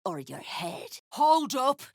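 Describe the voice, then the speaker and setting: A woman's voice: sits high, big pitch swings, takes it slowly, no echo, studio quality, exaggerated voice. An actress playing a tough, greedy female duergar mercenary in a video game, blunt, coarse and threatening. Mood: threatening, irritated. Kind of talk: video game character dialogue.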